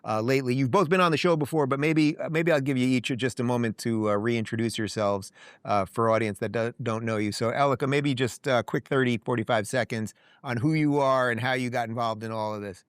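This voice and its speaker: A man's voice: mid-range voice, a little fast, smooth, no room sound, clean studio sound. A man hosting an interview show, talking in a loose, casual way with fillers and restarts. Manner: relaxed, with frequent uh fillers and restarts. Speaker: show host.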